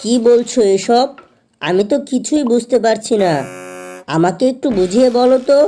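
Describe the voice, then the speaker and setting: Woman as a mellow-toned gullible cartoon fox husband. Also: fairly high pitch, expressive, moderate pace, slight background noise, natural voice. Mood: puzzled. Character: gullible male fox husband turned shopkeeper. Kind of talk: Bengali cartoon voice acting.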